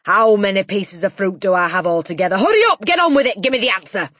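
A woman voicing a huge, bullying headmistress in an audiobook, booming and barking out contemptuous insults with drawn-out emphasis. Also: steady tone, fairly bright tone, dry recording, clean studio sound, natural voice. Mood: impatient. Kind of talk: audiobook character acting.

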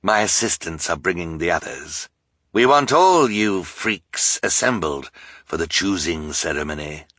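A man's voice: low-pitched, lively intonation, a little slow, fairly bright tone, dry recording, full character voice. Brief: vain scheming count, pompous and proud, read by the male narrator, dry close sound. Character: vain scheming villainous count, adult man.

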